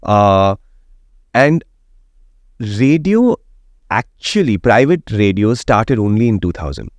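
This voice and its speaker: A man, speaking in a fairly low register. He lays out his opinions at length, like a seasoned radio host, and keeps asking "right?" to check his listener is following. The studio sound is close and dry, with no room echo. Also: very animated, slow. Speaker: radio jockey and guest. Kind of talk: opinionated interview conversation.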